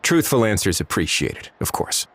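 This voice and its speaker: An actor playing a calm, probing male investigator in a video game, measured and quietly commanding. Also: on the low side, slight background noise. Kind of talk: video game cutscene voice acting.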